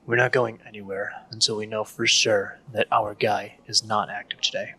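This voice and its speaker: A man's voice: lowish voice, level tone, moderate pace, pushed and bright, slightly rough, heavily acted, low-quality recording. A man playing a serious, take-charge young investigation leader in an audio drama, with a dry, terse manner. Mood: brisk, commanding. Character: Simon, serious young man leading a paranormal investigation team.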